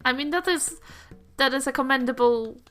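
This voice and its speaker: A woman acts out an elderly granny adventurer in a tabletop game, kindly but fussy and fond of moralising. The voice is high and bright and goes haltingly, with music behind it. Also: clean tone. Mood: politely preachy. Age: elderly figure. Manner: fussy, halting, gently preachy. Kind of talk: improvised tabletop game roleplay.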